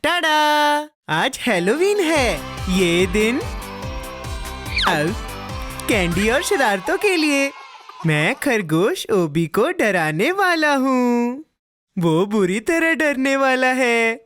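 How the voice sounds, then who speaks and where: A woman voicing a playful, food-obsessed little boy with a childlike, expressive manner in a children's cartoon. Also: on the high side, very slow, faint music behind, heavily acted. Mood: excited, mischievous. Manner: childlike, expressive, easily bored.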